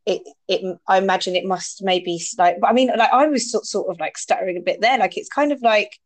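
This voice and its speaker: A woman chatting with a friendly interviewer's ease, rushing ahead and tangling words with plenty of fillers. Her voice sits at a middling pitch. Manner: chatty, quick and mixes up words, many fillers. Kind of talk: casual chat.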